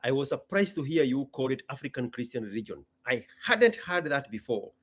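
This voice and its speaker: A man's voice: medium pitch, sing-song, brisk, a bit bright, husky. A man from Kenya hosting a casual talk, speaking emphatically in short, punchy sentences and repeating his phrases. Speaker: host. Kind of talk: casual solo talk to an audience.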